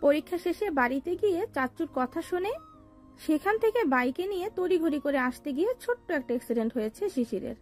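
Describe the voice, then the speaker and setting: A woman voicing a caring elder-sister figure whose voice is hoarse from crying, calming and reassuring. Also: high voice, brisk, faint music behind, voice pushed up. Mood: urgent, calming. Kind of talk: storybook character voice.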